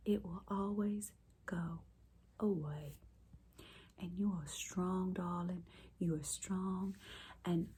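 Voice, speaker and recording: A woman with a Southern American accent voicing a chatty, doting Southern lady in an ASMR roleplay, rambling folksy stories full of endearments in a soft, often whispered voice. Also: mid-range voice, husky. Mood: soothing.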